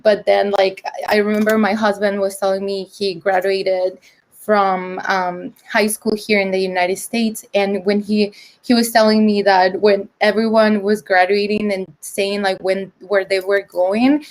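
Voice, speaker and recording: A woman's voice: medium pitch, little pitch movement, measured pace, poor audio. Young woman, English, casual presenter with a chatty, filler-heavy delivery, video-call audio. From El Salvador. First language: Spanish. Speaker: conference presenter.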